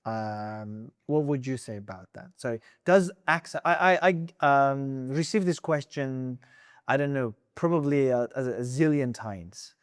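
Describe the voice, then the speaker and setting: A woman's voice: rumbling low, unhurried, clean tone, no room sound, studio quality. A woman hosting an interview, asking eager questions and giving short affirmations. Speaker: host, interviewer. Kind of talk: casual interview conversation.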